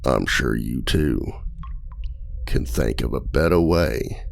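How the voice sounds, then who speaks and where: A man's voice: expressive, slow, full character voice. A man playing a charming imprisoned male outlaw trickster in an ASMR roleplay, teasing and flirtatious in a silky voice. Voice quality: deep gravelly voice.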